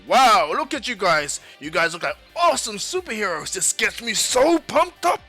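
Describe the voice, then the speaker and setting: A man voicing a hearty superhero teacher in an anime story, with grand, excited, drawn-out announcing over music. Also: high voice, pitched above the performer's own voice, heavily acted.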